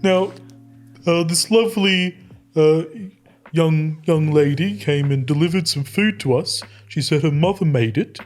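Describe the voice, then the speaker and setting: A man's voice: on the high side, measured pace, faint music behind. A man playing a tabletop game, improvising politely in character with a hesitant, slightly formal manner.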